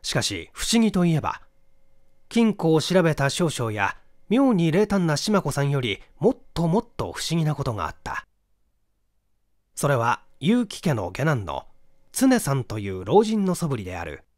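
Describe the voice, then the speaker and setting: A man narrating a mystery story from a script in an expressive storytelling manner. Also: on the high side, normal speed, no echo, clean studio sound. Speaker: audiobook narrator, stage actor.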